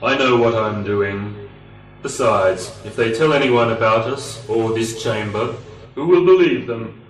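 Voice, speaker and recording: A man playing a calm, secretive cult leader in an amateur sci-fi radio play, speaking in a solemn, measured way. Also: medium pitch, roomy sound, far from the mic, soft background music, natural voice, poor audio. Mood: quietly assured. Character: calm, secretive male cult leader guarding sacred chamber.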